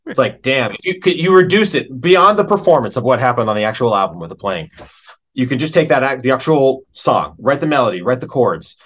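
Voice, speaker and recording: A middle-aged American man speaks as a musician being interviewed. His voice sits at an average pitch, and he talks casually with plenty of slang. He tells his stories in an animated, conversational way. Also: brisk.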